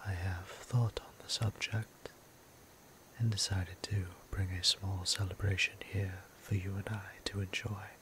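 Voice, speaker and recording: A man playing a gentle, courteous vampire priest in an intimate ASMR roleplay, speaking formally and tenderly in a hushed whisper with deliberate pauses. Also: low voice, flat delivery. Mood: courteous, inviting. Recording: clear ambient background noise.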